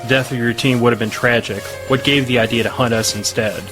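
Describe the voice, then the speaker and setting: An actor playing a shrewd, steady military commander in an audio drama, giving terse, authoritative orders. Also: male voice, medium pitch, brisk, natural voice. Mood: firm, measured. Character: shrewd, steady male military commander in post-disaster America. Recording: loud dramatic music underneath.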